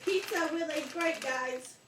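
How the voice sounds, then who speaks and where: A young woman with a high voice tells jokes in a playful, giggly way, often stumbling over the punchline. The sound comes from a camera far across an echoing room, with clear background noise. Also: unhurried, fairly bright tone. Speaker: puppeteer telling jokes. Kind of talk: casual joke swapping.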